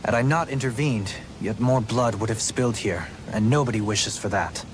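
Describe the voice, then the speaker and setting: A man's voice: on the low side, noisy background, lightly acted. Man acting a formal, composed former lord. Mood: composed, grave. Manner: formal, measured, stately wording. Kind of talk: video game cutscene acting.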